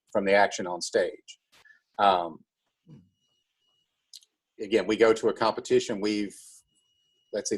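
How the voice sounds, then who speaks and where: Man, lowish pitch, husky, calm, conversational, explaining things. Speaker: high school math teacher and drama director. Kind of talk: casual interview answers.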